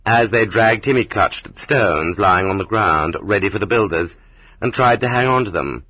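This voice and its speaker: A man reading an audiobook as scripted literary narration, in a measured, dramatic manner. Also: fairly low pitch, slightly rough, no room sound. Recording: slightly dull, muffled audiobook recording.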